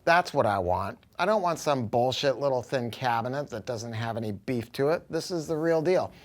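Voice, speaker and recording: An American man presents a product like a dealer chatting off the cuff. His delivery is emphatic and slangy, with salty language, at a middling pitch. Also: expressive, moderate pace. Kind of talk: informal product review, off-the-cuff sales talk.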